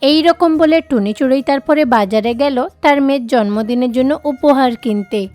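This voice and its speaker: High-pitched woman narrating a children's story. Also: moderate pace, darkish tone, soft background music.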